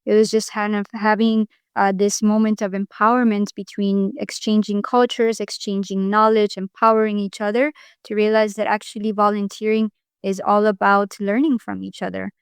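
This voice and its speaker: Smooth-voiced woman guest, earnest and reflective. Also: mid-range voice, level tone, moderate pace, no room sound, clean studio sound. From Mexico. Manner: earnest, reflective, frequent restarts. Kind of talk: podcast interview.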